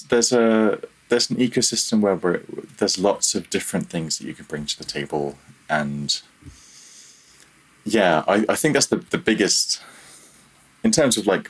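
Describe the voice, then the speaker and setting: A man's voice: low-pitched, normal speed, slightly rough, rough recording. A man speaking casually and reflectively as a podcast guest, hesitant and self-deprecating in how he phrases things. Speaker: podcast guest, freelance audio developer.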